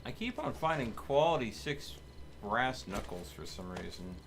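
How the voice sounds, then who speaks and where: A man's voice: mid-range voice, very animated, normal speed. A man chatting casually with teammates as he streams video gameplay. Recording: constant game noise behind voice.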